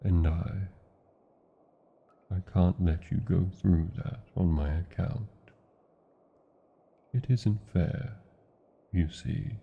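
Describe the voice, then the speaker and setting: An ancient British dragon for a fantasy romance roleplay. The voice is very low and gravelly, and it moves extremely slowly through grand, old-fashioned phrases with lingering pauses. The creature is huge and proud, yet lovesick. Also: male voice, narrow, tinny audio, heavily acted. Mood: tender, sorrowful. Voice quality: gravelly, husky. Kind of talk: romantic fantasy ASMR roleplay, slow theatrical delivery.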